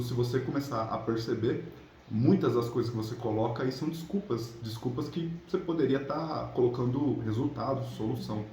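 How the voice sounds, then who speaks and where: A man's voice: medium pitch, mellow. A Brazilian man giving casual motivational relationship coaching, chatting colloquially to viewers and checking in with little tag questions. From São Paulo state, Brazil. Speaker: relationship coach, channel host. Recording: roomy echo, clear background noise.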